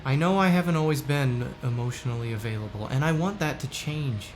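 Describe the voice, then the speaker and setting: An actor playing a frustrated, earnest husband in a musical audio drama, speaking in a pressing, wounded way. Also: male voice, mid-range voice, fairly quick, a little hiss or hum, lightly acted. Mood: earnest, apologetic.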